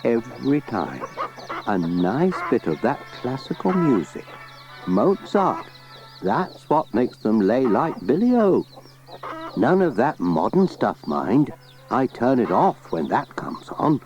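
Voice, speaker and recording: A man's voice: medium pitch, very animated, slow, darkish tone. A man voicing a homely, opinionated farming countrywoman in a children's storybook. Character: opinionated, homely countrywoman farmer, proud of her hens. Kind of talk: children's storybook cassette reading. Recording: slightly dull cassette, faint music.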